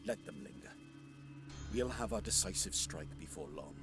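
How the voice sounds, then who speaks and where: Man playing an arrogant, deep-voiced elven warrior lord. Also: lively intonation, creaky, fairly bright tone, faint music behind. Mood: resolute. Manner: haughty, theatrical, deliberate. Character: arrogant, hot-tempered male Eldar warrior lord. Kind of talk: science fiction audio drama acting.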